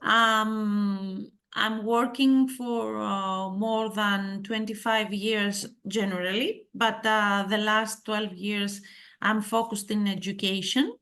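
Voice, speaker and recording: A woman's voice: mid-range voice, fairly even intonation, takes it slowly, a bit bright. A middle-aged woman from Greece with a Greek accent, giving a conference talk in a hesitant way, with many fillers and questions to the audience. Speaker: school head of digital education giving a talk.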